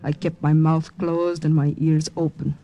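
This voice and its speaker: A woman's voice: low-pitched, flat delivery, measured pace, mellow. Young wartime woman spy, old-time radio. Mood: reflective, tense. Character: young female spy in wartime China, brave, guarded. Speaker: lead actress and narrator. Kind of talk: 1950s radio drama acting, narrating and in dialogue. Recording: old radio recording with music behind.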